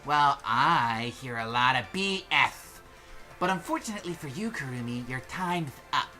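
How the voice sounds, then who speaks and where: A woman's voice: rumbling low, animated, normal speed, pushed and bright. A woman taking part in a group fan dub of a video game, mixing casual banter with read dialogue. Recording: music playing behind the voice. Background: game music.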